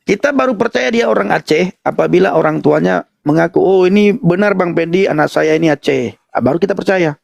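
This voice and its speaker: A man's voice: sits high, lively intonation, brisk, gravelly, no room sound. A man in an informal live debate chat, taunting and repeating his points. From Indonesia. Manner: taunting, repetitive.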